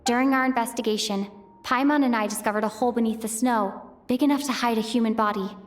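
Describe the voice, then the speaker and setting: A woman's voice: on the high side, steady tone, fast, soft background music, close to an everyday voice. A woman voicing a thoughtful young female traveler who works methodically through clues in an inner monologue for a fan dub. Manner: methodical, reasoning aloud, questioning herself. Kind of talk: fan voice acting of a game cutscene, inner monologue.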